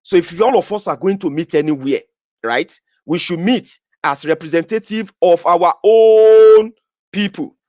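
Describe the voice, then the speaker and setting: A man's voice: high-pitched, a little slow, darkish tone, no echo, studio quality. A Nigerian man giving an emphatic political monologue, repeating phrases for effect. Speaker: political commentator. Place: Scotland, indoors.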